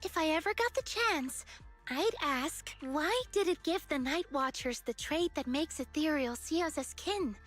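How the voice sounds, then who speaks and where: A woman's voice: sits high, animated, a little slow, a bit bright. A woman playing an earnest, eager young researcher with big dreams in a video game. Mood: hopeful, earnest. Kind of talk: English video game voice acting. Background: game music.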